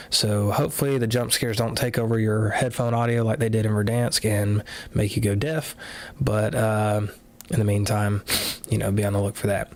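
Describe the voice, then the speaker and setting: A man casually walking through game patch notes live, a little nasal after a cold, talking in a relaxed way with lots of fillers. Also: lowish voice. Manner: relaxed, filler-heavy, offhand commentary. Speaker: gaming content creator.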